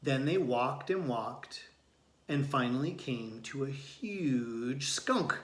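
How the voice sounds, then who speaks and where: A man's voice: mid-range voice, animated, takes it slowly, roomy sound. A man speaking as a teacher, addressing his students and reading a picture book aloud.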